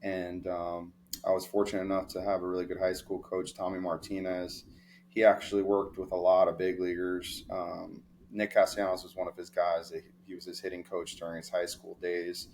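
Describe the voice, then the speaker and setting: A young man from New York, a sports agent telling stories in a relaxed, rambling interview with many fillers. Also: sits low, normal speed. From New York, of Dominican family. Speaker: baseball player agent, guest. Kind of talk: casual interview storytelling.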